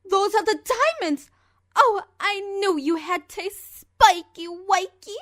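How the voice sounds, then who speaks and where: A woman's voice: very high voice, slow pace, a bit bright, dry recording, clean studio sound, heavily acted. A woman voicing a refined, lovestruck young female pony fashionista, theatrical and elegant, in an audio drama letter reading. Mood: delighted.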